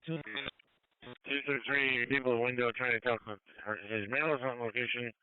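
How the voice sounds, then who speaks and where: A man's voice: mid-range voice, steady tone, fairly quick, clean tone, dry recording, narrow, tinny audio. A man speaking as a police officer in radio traffic, heard through a two-way radio on a scanner feed.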